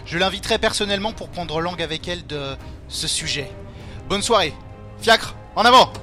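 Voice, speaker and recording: A condescending police prefect in an audio drama. His voice is bright, forceful and fairly high, pompous and dismissive, impatient with those beneath him. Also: normal speed, soft background music. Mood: curt. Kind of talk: French audio drama acting.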